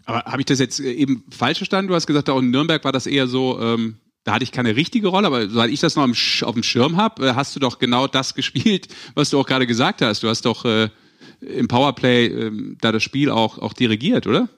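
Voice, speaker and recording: A man's voice: mid-range voice, fast talker, fairly bright tone. A man co-hosting a casual sports podcast, talking informally and questioning as he goes. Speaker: podcast co-host.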